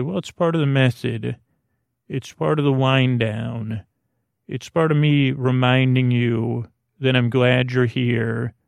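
A man speaks in a creaky, mid-pitched voice with plenty of fry, lulling the listener like a bedtime host. He meanders, restarts and trails into tangents in a soothing way. The sound is very dry, with no room around it. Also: no echo. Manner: meandering, halting, repeats and trails off. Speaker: podcast host. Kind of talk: rambling bedtime sleep podcast intro.

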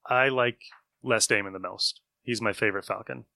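A man's voice: lowish voice, steady tone, moderate pace, vocal fry, bright, forceful tone, no echo, clean studio sound. A man hosting a podcast, chatting casually and informally about his opinions. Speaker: podcast host. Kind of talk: casual discussion.

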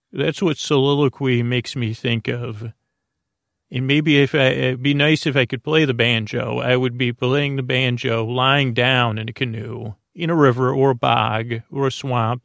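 A man hosting a bedtime podcast, talking in slow meandering tangents full of fillers and restarts, with a creaky voice. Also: medium pitch, no room sound, studio quality. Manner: meandering, filler-heavy, self-interrupting, soft. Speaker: podcast host. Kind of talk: rambling bedtime-story podcast talk.

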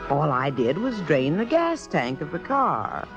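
A woman's voice: deep voice, big pitch swings, measured pace. An actress playing a woman who confides her guilty scheme against a friend, in 1950s radio drama style. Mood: wry confiding. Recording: muffled vintage radio broadcast with music.

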